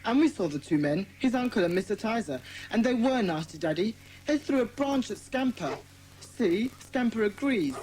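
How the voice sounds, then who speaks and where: A woman's voice: on the high side, animated, normal speed, a little mellow, a little hiss or hum, natural voice, poor audio. A woman voicing an earnest, well-spoken British schoolboy in a children's audiobook drama. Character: Peter, earnest schoolboy leader of a children's detective club.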